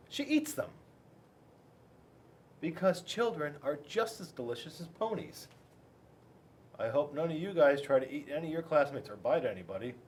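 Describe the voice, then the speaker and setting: American male, school officer doing a kids' read-aloud. Very varied pitch, playful, chatty, faint background noise. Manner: playful, rambling, with dramatic pauses. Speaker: school resource police officer reading to children. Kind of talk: picture-book read-aloud with chatty asides to children.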